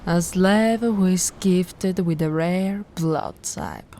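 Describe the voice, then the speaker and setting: A woman playing a haughty, possessive immortal vampire aristocrat in an ASMR roleplay, imperious and condescending, theatrical, often dropping into a breathy whisper. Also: fairly low pitch, takes it slowly, mellow, slight background noise. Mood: scornful, threatening.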